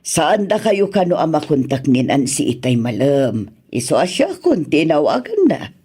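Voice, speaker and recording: A mild older mother in a radio drama. The voice is dark and husky, swinging freely in pitch, with a hesitant, halting delivery. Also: big pitch swings, full character voice. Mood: concerned.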